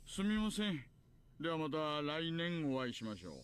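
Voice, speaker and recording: Brisk, formal man. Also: on the high side, sing-song, a little hiss or hum. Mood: polite, reserved. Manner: formal, polite. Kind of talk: Japanese visual novel voice acting.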